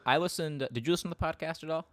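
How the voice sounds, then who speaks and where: A young man co-hosting a podcast, chatting casually in a quick, rambling way and laughing often. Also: on the high side, no echo, clean studio sound. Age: young adult. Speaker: podcast co-host. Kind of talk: casual chat. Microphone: studio podcast mic.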